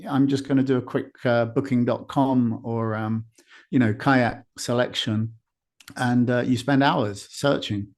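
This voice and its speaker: A man's voice: mid-range voice, steady tone, unhurried, darkish tone. Man hosting a conversational interview. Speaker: podcast host.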